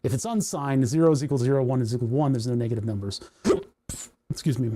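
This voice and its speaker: A man hosting a casual coding livestream, rambling and chatty with frequent laughs, his voice starting to go hoarse. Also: mid-range voice, a little fast, warm tone. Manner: rambling, chatty, laughs often, reads chat aloud. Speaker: livestream host.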